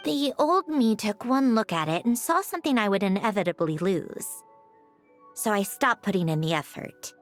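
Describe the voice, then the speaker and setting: A woman's voice: mid-range voice, animated, moderate pace, faint music behind, close to an everyday voice. A woman playing a melancholy, guarded young woman in a video game, wry and resigned, with sighs and a weariness of loss. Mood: wistful. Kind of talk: dramatic video game voice acting.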